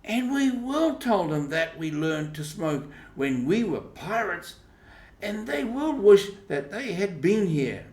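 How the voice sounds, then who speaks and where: An excitable, boastful young boy from a storybook, performed by a man reading aloud. The voice is lifted in pitch and emphatic. It rings with room echo. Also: slow, exaggerated voice. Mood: eager. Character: eager, boastful young boy playing at pirates. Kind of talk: storybook character dialogue. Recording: roomy, echoing voice recording.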